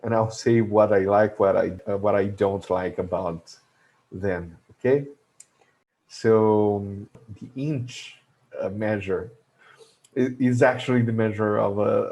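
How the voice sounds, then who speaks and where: A man with a Brazilian Portuguese accent talks through a lesson at an unhurried, halting pace. His voice sits fairly low, and he pauses often to search for words. He chuckles now and then at his own remarks. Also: fairly even intonation, creaky, a little mellow. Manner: hesitant, many filler sounds and restarts, chuckles to himself. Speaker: logistics educator. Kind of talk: explanatory video lesson.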